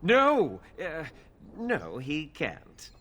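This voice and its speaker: An actor playing a prim, long-suffering shopkeeper with sardonic sales patter in a fantasy video game. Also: male voice, a little high, huge pitch swings, extremely slow, bright, forceful tone, a little hiss or hum, exaggerated voice. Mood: exasperated. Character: prim, exasperated male shopkeeper of an armor emporium.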